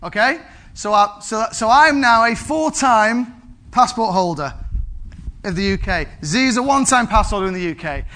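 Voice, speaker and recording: Man, 40s, pastor, sermon, lively, high voice. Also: normal speed. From England. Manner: animated, asks the congregation for amens.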